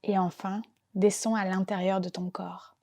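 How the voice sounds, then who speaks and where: A woman gently and calmly guiding a relaxation meditation as a yoga nidra teacher, unhurried and soothing in her delivery. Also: mid-range voice. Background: soft background music.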